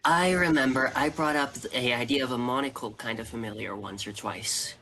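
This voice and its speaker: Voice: mid-range voice, normal speed, pushed and bright, a little hiss or hum. Joking player at the table. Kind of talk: casual tabletop chat.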